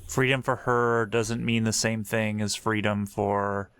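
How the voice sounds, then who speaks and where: A woman's voice: very deep voice, steady tone, a little slow, clear, resonant tone. A woman giving casual, slangy game commentary, thinking aloud as she plays. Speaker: game streamer. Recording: game music behind the voice. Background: game music and dialogue.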